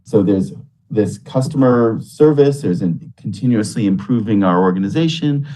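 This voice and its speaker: A man's voice: fairly low pitch, lively intonation, normal speed, warm tone, low-quality recording. A man at a public meeting laying out his points in order and checking in with "right?". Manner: organized, ticking off points with "right?". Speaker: meeting participant. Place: meeting room.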